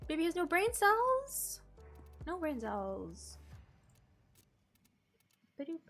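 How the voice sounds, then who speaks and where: A woman's voice: very high voice, wildly swooping pitch, slow pace, a bit bright, soft background music. A young woman with a slightly nasal, congested voice, chatting casually and slangily with her viewers while playing a game. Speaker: game streamer. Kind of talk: casual gameplay chat to stream viewers.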